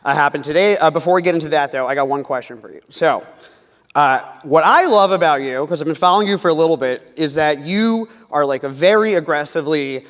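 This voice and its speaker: A higher-voiced American man hosts a live stage interview as a comedian and journalist. His delivery is informal and teasing, and his voice rings with the long reverb of a hall. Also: brisk, fairly bright tone. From New York, US. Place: indoor event hall in front of an audience.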